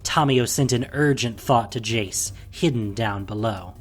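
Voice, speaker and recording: A man narrating a scripted fantasy audio drama in a dramatic, deliberate way, with a breathy voice. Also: medium pitch, soft background music.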